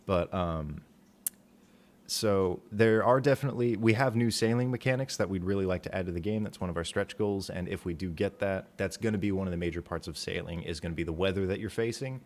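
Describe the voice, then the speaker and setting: Soft-spoken livestream host, very low voice, quiet delivery, casual. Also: male voice, a little fast. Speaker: author and stream host.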